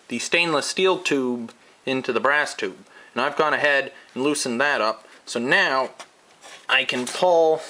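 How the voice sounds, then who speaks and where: A man giving a hands-on how-to lesson at a workshop bench, explaining each step slowly and methodically as he works. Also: mid-range voice, sharp tone, slight background noise. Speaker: DIY welding instructor.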